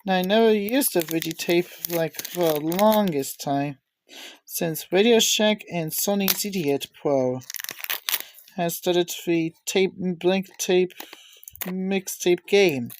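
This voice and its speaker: A woman giving a casual, unscripted show-and-tell with halting, rambling delivery. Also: lowish voice, a little mellow, slight background noise. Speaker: video maker showing cassette tapes.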